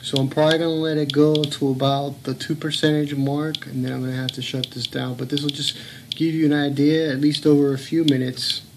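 A man's voice: fairly high pitch, flat delivery, fairly quick, background noise. A man filming at home, talking in a blunt, earnest way and swearing now and then. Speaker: home video blogger. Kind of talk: casual filmed commentary. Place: home room.

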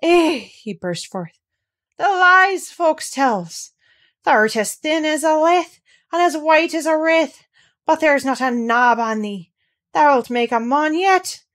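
A woman voicing a tactless old male gardener with a Yorkshire accent and shaky, hoarse speech. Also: medium pitch, animated, measured pace. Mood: overcome. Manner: blunt dialect, shaky and hoarse.

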